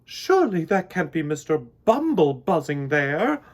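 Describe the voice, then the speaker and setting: A regal queen bee from a children's storybook, acted out by a man. The voice is pitched high and lifted, with lively swoops and a gracious, royal manner. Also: a little slow, heavily acted. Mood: disbelieving.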